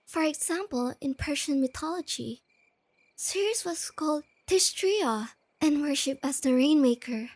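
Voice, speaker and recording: A woman playing a shy, nerdy, sweet young girlfriend in a soft-spoken, intimate ASMR roleplay. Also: high voice, expressive, measured pace, no room sound. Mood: shy, excited. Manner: gentle, intimate, enthusiastic about explaining facts.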